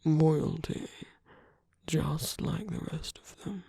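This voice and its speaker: A man playing a suave, teasing villain in an intimate ASMR roleplay, murmuring softly and often slipping into whispers. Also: fairly high pitch, slow, dry recording, clean studio sound, full character voice. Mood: scornful, persuasive. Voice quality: soft, breathy. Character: suave, teasing male villain at a royal masquerade.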